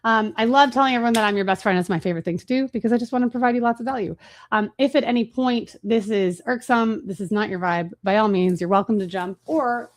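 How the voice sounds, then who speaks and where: Fast-talking woman hosting a webinar. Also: a little high. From Chicago, USA. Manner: fast, casual, chatty with fillers and restarts. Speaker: webinar host. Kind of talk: marketing webinar presentation.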